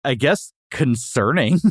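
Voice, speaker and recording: Male host, casual comedic review chat, lively intonation, playful mock-strictness, very dry sound. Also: mid-range voice, slow, no echo. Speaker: podcast host.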